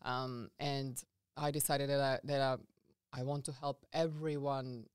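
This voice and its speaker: A woman from Serbia with a Serbian accent, a guest coach telling her story in a casual interview, earnest and halting with many fillers and restarts. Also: a bass voice, little pitch movement, darkish tone, smooth, no room sound, clean studio sound.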